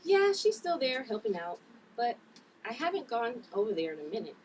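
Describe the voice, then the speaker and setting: A woman's voice: fairly high pitch, big pitch swings, normal speed, darkish tone, recorded from a distance. A woman reading the part of a caring, exasperated sister who works as a TV producer, in a family drama table read. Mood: candid, slightly weary. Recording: noisy room, off the mic.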